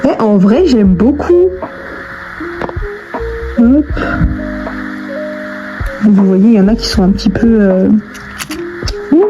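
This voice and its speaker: A woman's voice: medium pitch, slow pace, pauses often, lo-fi sound, over music. A young adult woman chatting casually in slangy, informal language, thinking aloud as she crafts. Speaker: online video creator. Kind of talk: casual chat while crafting.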